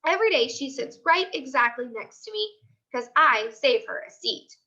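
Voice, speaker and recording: A woman voicing an excitable, opinionated little kindergarten girl in a children's chapter book, childlike and dramatic. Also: high voice, normal speed, lo-fi sound, exaggerated voice. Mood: emphatic, opinionated.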